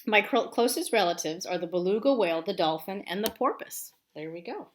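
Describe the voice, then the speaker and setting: A woman's voice: medium pitch, a little fast. Echoey schoolteacher woman talking to young children. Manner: simple, expressive explaining aimed at young children. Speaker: elementary school teacher. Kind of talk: informal video lesson and read-aloud for children. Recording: roomy echo, faint background noise.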